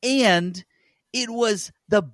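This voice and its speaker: A man's voice: sits high, drawn-out words, fairly bright tone, dry recording. Casual man chatting about games, dry sound. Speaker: host of a gaming podcast. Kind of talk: casual gaming news chat.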